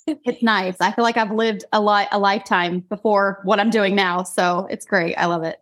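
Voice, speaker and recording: A woman in her early forties, originally from Idaho, talks easily as a podcast guest. She rambles warmly and laughs often, and her pitch stays in an everyday middle range. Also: steady tone, moderate pace. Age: 40s. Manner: chatty and warm, laughs often, restarts sentences. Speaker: guest, singer-songwriter. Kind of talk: casual interview chat.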